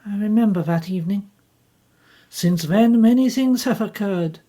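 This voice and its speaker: Passionate bitter young woman, pushes every word, kinda husky, woman doing her. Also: mid-range voice, normal speed, dark tone, voice pushed up, lightly acted. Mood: impassioned. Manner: intense, pleading, emphatic.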